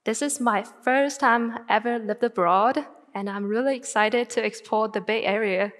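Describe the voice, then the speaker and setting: A young woman in her twenties from northern China, a native Chinese speaker with a Mandarin Chinese accent, giving an earnest prepared talk on a stage and slowing down to stress her phrases. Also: a little high, fairly bright tone. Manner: earnest, deliberate with slow emphatic phrases. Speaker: student speaker. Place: large auditorium stage. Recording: long hall reverb.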